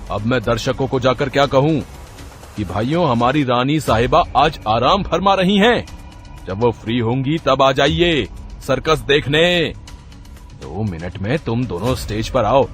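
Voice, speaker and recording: An actor playing a greedy, bullying circus manager in a cartoon story, scolding, sarcastic and threatening. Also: male voice, mid-range voice, a bit bright.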